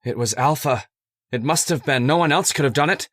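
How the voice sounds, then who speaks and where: A man playing an earnest, persuasive scientist's assistant in old-time radio horror style, speaking formally and deliberately. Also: mid-range voice, fairly bright tone, dry recording, studio quality, natural voice. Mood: grave, persuasive.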